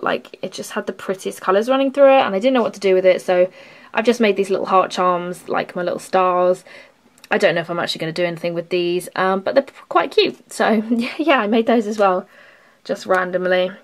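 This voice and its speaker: A young woman hosting a craft vlog, rambling and chatty with lots of ums and likes, her voice a little worn and sore. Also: mid-range voice. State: losing her voice, sore throat. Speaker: craft channel host. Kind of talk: casual rambling show-and-tell. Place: indoors at a craft table.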